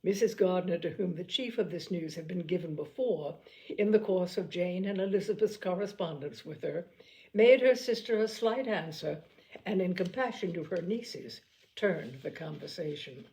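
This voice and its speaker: A woman narrating a classic novel as an audiobook reader, in a measured, expressive reading. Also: fairly low pitch, poor audio.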